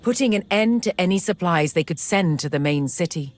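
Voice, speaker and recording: A woman reading scripted documentary-style narration in a measured, solemn and dramatic storytelling manner. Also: fairly low pitch, expressive, heavy background noise. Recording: music behind the voice.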